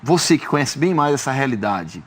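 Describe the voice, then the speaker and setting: A man, hosting a studio football debate and asking measured questions. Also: mid-range voice, sing-song. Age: 30s. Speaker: sports journalist host.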